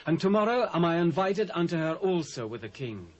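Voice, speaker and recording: A boastful British villain at a royal court. The voice is raised a little in pitch, pompous and resentful, in scripture audio drama style. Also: male voice, big pitch swings, a little fast, dull audio. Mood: proud, bitter.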